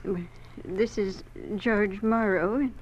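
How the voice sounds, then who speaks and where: A woman's voice: mid-range voice, fairly even intonation, slow, slight background noise, natural voice. Woman playing a homely older farm wife, dull recording. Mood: polite, gentle. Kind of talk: 1950s radio drama acting. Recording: dull old radio recording.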